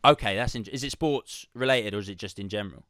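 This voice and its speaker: A man's voice: lowish voice, fast talker, a bit bright, smooth, no echo, clean studio sound. A man hosting a casual sports podcast, talking in an informal, chummy way with his guest. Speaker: podcast host.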